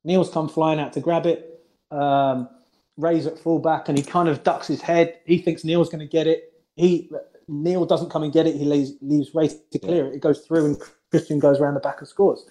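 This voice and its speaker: A man's voice: fairly high pitch, flat delivery, normal speed, mellow, poor audio. A man, a former head coach, talking earnestly about past matches in a casual chat, often restarting his sentences.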